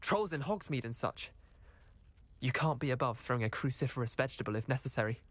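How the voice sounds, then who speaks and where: An actor playing a cheeky, eager teenage wizard schoolboy with a British accent and chatty, cocky manner in a video game. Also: male voice, mid-range voice, expressive, brisk, fairly bright tone, no echo. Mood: cheeky, keen. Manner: chatty, boyish, colloquial, cocky. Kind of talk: video game character acting.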